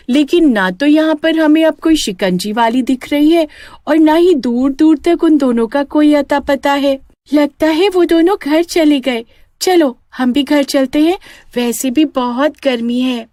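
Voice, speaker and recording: Woman playing an earnest high-pitched village child. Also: a little slow, dark tone, dry recording, clean studio sound. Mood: puzzled, earnest. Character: young village children, innocent and curious.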